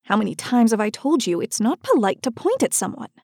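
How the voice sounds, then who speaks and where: A woman voicing a prim storybook mother who briskly and politely admonishes her small child. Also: a little high, big pitch swings, darkish tone, no echo, clean studio sound. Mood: chiding. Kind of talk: storybook character voice.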